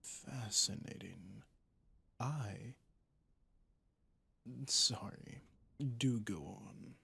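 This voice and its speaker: Ancient vampire captor, male voice actor, ASMR audio drama. Very unhurried, creaky, whispery delivery; sadistic, mocking, theatrical. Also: fairly low pitch, bright, forceful tone, exaggerated voice. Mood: curious, teasing. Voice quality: breathy, gravelly. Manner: slow, theatrical, condescending, often dropping to a whisper.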